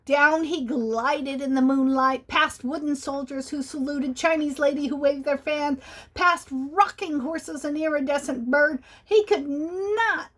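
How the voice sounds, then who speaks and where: A woman's voice: high-pitched, unhurried, fairly bright tone. A woman reading a children's picture book aloud in a sing-song way.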